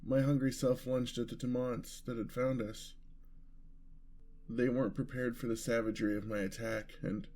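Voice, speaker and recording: A man acts out a gentle, romantic male vampire for a fantasy audio roleplay. He speaks slowly at a medium pitch, earnest and tender, with a slightly formal turn of phrase. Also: natural voice. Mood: relieved, tender.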